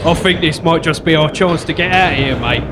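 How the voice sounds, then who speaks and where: A scheming male convict, played by a stage actor, eager for trouble, speaks in a somewhat high voice. It is live stage comedy, with music in the recording. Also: fairly quick, natural voice.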